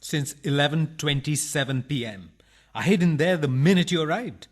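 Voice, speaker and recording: An actor playing a cheeky, indignant burglar in a farcical radio play, protesting politely and taking things comically literally. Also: male voice, on the high side, moderate pace, close to an everyday voice. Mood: pleading.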